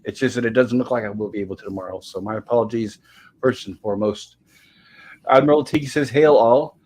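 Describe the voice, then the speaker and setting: A man hosting a casual livestream chat, polite and welcoming, with a slightly raspy throat irritated by smoke. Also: lowish voice, a little fast, a little mellow, slight background noise, low-quality recording. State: throat irritated by smoke, holding back a cough. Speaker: livestream host.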